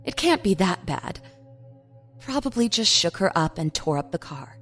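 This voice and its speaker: Woman playing married woman, lowish voice, guarded, reassuring, feigned calm, radio drama phone call. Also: normal speed, husky, soft background music, exaggerated voice. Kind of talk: audio drama acting.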